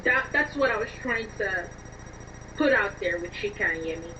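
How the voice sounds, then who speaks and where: A woman's voice: mid-range voice, lively intonation, moderate pace, pushed and bright. A woman speaking as an interview guest and writer, earnestly explaining her views through personal examples. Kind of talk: reflective interview conversation. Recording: dull lo-fi, distant, noisy line.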